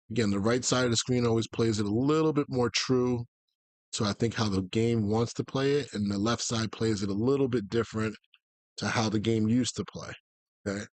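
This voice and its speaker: A man giving a live, conversational tutorial as a gaming coach, explaining tactics and often checking in with a quick "okay?" or "right?". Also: on the low side, darkish tone, no room sound. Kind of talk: live explanatory commentary on football video game tactics.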